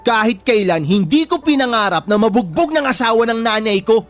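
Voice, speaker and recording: A man's voice: sits high, lively intonation, brisk, a bit bright, faint music behind. A man acting a hurt, bitter younger brother worn down by abuse, in an emotional Tagalog radio drama style. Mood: guarded, resigned. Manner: emotional, wounded.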